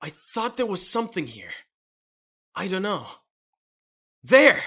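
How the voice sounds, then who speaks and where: A woman playing an uneasy young fighter on a monster hunt in a manga audio drama, with a husky, urgent delivery. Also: medium pitch, big pitch swings, a little slow, bright, forceful tone, exaggerated voice. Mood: alarmed. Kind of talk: amateur audio drama acting.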